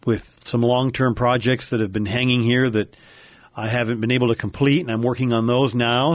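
An American man in his fifties with a medium-pitched voice, speaking as a talk show co-host. His delivery is conversational. The sound is dry, from a home studio. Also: no room sound. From United States. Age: 50s.

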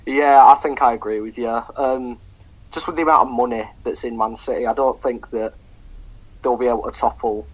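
A man's voice: medium pitch, fairly even intonation, normal speed, breathy edge, poor audio. Young man, casual football chat, swears a lot, lots of ums. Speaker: podcast co-host.

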